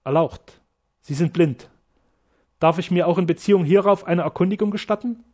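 A man's voice: high-pitched, normal speed, dark tone. A man voicing a fearless German doctor in an audiobook, speaking formally and accusing his rivals heatedly. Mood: urgent.

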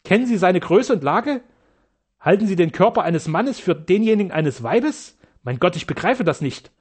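A man's voice: fairly high pitch, brisk, close to an everyday voice. Man voicing an indignant, fearless German doctor. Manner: heated, accusing, formal.

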